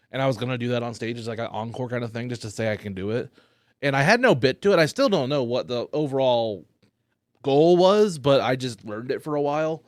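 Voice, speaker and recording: Rambling, cursing comedian, dry close sound. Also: male voice, mid-range voice, no room sound. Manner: rambling, loose, curses often. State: high. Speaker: stand-up comedian. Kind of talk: casual podcast chat.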